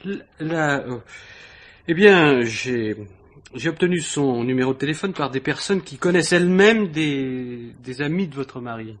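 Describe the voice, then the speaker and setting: Hesitant, husky visitor. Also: male voice, medium pitch, animated, measured pace. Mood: flustered, apologetic. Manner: hesitant, stammering, apologetic, politely inquisitive. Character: awkward, probing male civil servant visitor. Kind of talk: 1950s French radio mystery acting.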